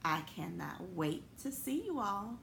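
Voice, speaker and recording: Animated woman reading a storybook, echoey room. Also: medium pitch, unhurried, vocal fry. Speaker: school principal reading a picture book to students. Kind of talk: expressive children's storybook reading. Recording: echoey room with noticeable background noise.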